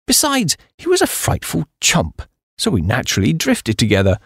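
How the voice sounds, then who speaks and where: A man voicing a flighty, excitable young upper-class English gentleman in comic audiobook narration, with breezy, slangy, exclamatory delivery. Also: on the high side, normal speed, vocal fry, husky, dry recording, clean studio sound, heavily acted. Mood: surprised, chatty. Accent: British upper-class. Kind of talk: first-person comic audiobook narration in character.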